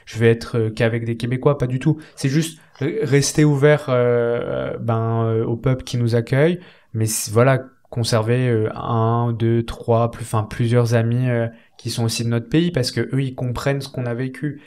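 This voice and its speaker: A man from the French Alps, a podcast guest, chatting casually with frequent pauses and filler words, curious and thoughtful. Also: medium pitch, flat delivery, darkish tone. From French Alps, France. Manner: hesitant, many fillers and restarts, curious. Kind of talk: casual conversation.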